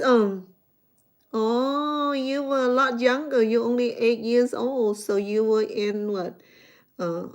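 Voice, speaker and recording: A middle-aged woman with a Cambodian accent, a chef chatting casually with viewers on a live stream, restarting her sentences often and rambling from one thought to the next. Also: on the high side. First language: Khmer.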